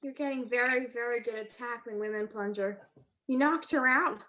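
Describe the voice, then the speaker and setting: Woman doing a teasing clever wife in old radio mystery, highish voice, dull lofi call audio. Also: normal speed, sharp tone. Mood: teasing, admiring. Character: teasing, affectionate detective's wife, sharp-witted. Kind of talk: 1940s radio detective drama acting.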